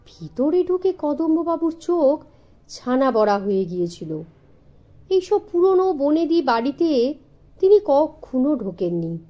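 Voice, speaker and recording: A woman narrating a children's story in an expressive, storybook style. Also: high-pitched, measured pace, dull audio.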